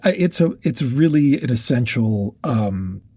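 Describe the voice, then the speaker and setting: A man co-hosting a podcast, talking casually about books in a halting way, repeating words as he thinks. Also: mid-range voice, animated, dark tone, husky, no room sound. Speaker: podcast co-host. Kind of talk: casual book discussion.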